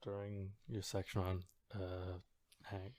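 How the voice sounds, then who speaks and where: A woman's voice: very deep voice, little pitch movement, slow pace, a crowd in the background. A woman co-hosting a podcast in casual chat, reacting with wry, skeptical remarks. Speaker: podcast co-host reacting to the story.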